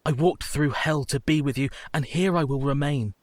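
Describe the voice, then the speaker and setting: An actor playing a tender yet fierce young husband in a radio play, earnest and emotive. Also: male voice, on the high side, normal speed, no room sound, natural voice. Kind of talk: radio play dramatic acting.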